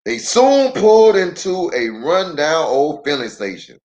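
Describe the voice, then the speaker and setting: Man, children's storytelling, slow pace, lively intonation, slightly high voice, expressive read-aloud. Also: muffled recording. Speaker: pastor reading a storybook.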